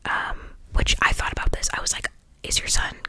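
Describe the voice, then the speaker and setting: A video creator speaking in a breathy whisper, casually reacting to stories in a gushing, slangy way with emphatic repetition and rambling asides. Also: brisk, sharp tone. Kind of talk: whispered ASMR storytelling and reaction, casual chat.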